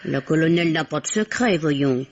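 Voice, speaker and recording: An actress playing a naive, impressionable spinster, a sleuth's admiring sidekick, speaking with dramatic wonder in an old French radio play. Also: female voice, low-pitched, moderate pace. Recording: dull, lo-fi old radio recording.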